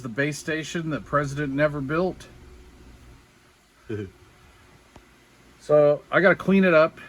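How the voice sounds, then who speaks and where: Man, medium pitch, folksy, colloquial, self-deprecating, casual hobbyist narration, unscripted, slight background noise. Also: a bit bright, poor audio. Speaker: hobbyist showing a DIY radio build.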